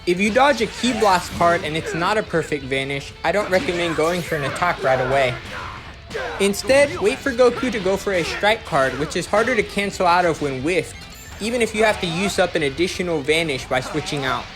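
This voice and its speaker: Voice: medium pitch, expressive, a little fast. Game guide narrator over prominent music. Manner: instructional, explanatory. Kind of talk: gameplay guide commentary.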